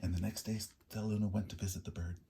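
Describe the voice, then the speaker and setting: A man reading a picture book aloud in an animated, expressive storyteller way. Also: lowish voice, fast, slight background noise.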